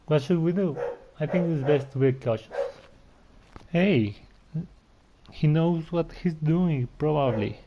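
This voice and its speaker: A man's voice: on the high side, slow pace, pauses often, background noise. A man giving casual gameplay commentary as a streamer, chatty and often hesitant as he searches for words. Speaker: game streamer. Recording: dull lo-fi voice, game music behind.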